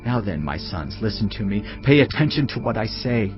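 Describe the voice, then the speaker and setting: A man's voice: fairly low pitch, sing-song, normal speed. A man giving a dramatised Bible narration as a solemn, admonishing fatherly teacher. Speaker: scripture narrator. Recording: slightly muffled, music bed behind. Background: background music.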